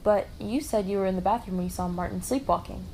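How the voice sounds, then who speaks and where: A woman's voice: medium pitch, fast talker, a crowd in the background, lightly acted, low-quality recording. A woman playing a firm, probing amateur sleuth at a lodge in a puppet show. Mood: accusing. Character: firm, reflective female lodge worker turned sleuth.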